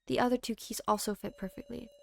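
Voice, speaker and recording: High-pitched woman streaming a game. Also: steady tone, quick pace, vocal fry. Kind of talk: reading game text aloud with asides.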